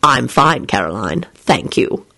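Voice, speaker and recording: A woman's voice: rumbling low, very animated, unhurried, gravelly, pushed and bright, no room sound, voice pushed down, full character voice. A woman voicing a mild, tired father in a story reading, casual and understated. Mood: cheerful, tired.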